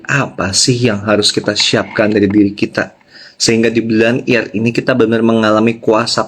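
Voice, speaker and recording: A man preaching a devotional to online listeners as a pastor, emphatically repeating key phrases and checking in with his audience. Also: fairly low pitch. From Indonesia.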